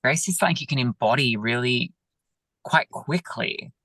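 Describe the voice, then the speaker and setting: A man, a yoga teacher, talking gently and reflectively over a video call, with many pauses and fillers. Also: mid-range voice, dry recording. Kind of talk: reflective interview talk.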